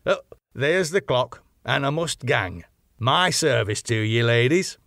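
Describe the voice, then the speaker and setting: Garrulous old Yorkshire salt played by a male reader, gravelly, rustic and teasing, audiobook storytelling, close dry sound. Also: medium pitch, expressive, a little slow, a bit bright, no room sound. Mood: teasing, reassuring. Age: older. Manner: folksy dialect speech. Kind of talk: audiobook character voice.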